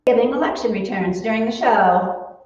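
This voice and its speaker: A woman's voice: on the high side, moderate pace, a little mellow, natural voice. A woman playing a sensible, affectionate wife in a 1940s radio comedy, with a theatrical, coaxing delivery. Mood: brisk. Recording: echoey hall, distant mic, muffled.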